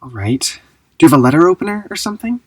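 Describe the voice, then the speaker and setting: A woman playing an earnest, fastidious young ballet dancer in an audio drama, husky and prim. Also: deep voice, sing-song, a little mellow, no echo, full character voice. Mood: earnest, keen.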